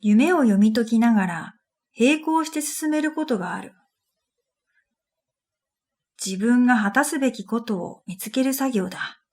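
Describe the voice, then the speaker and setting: A woman's voice: on the high side, moderate pace, a little mellow. A woman narrating a scripted first-person story for a radio drama in an introspective, matter-of-fact manner. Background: music.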